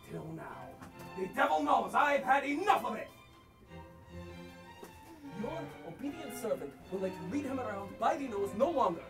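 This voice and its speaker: A man's voice: extremely high pitch, big pitch swings, moderate pace, long pauses, over music, heavily acted. A male actor playing a blustering, mocking, hot-tempered boor in a comic stage play, declaiming with theatrical sarcasm. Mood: boastful, impassioned. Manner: declamatory, sarcastic, exaggerated, swinging from bellows to murmurs. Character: blustering, boorish, misogynist middle-aged man, mocking and hot-tempered. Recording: distant mic on a theatre stage.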